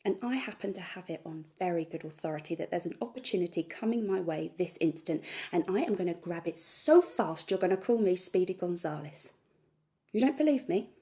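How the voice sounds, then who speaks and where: A woman's voice: fairly low pitch, lively intonation, fairly quick, dark tone. A woman acting a monologue as a manic, impulsive, sweary woman who works herself up with emphatic, repetitive declarations. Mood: fired up, defiant.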